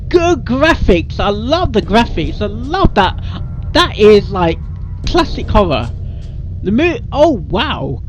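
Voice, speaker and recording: A man's voice: sits high, very animated, slow, faint music behind. A man giving casual live reaction commentary as a video host. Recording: dull lo-fi mic, faint noise.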